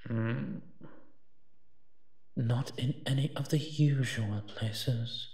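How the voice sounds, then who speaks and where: A man playing a wry, teasing monster who partners a human detective in a roleplay audio drama, speaking with hums and pauses. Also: mid-range voice, steady tone, darkish tone, breathy edge, heavily acted. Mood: smug, amused.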